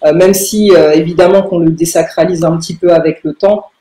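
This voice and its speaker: A young woman, answering in a podcast interview with halting phrasing and very frequent filled pauses. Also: fairly low pitch, fairly even intonation, warm tone. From Paris, France. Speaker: lawyer, interview guest. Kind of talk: podcast interview answers about own career. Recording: roomy, echoing room sound.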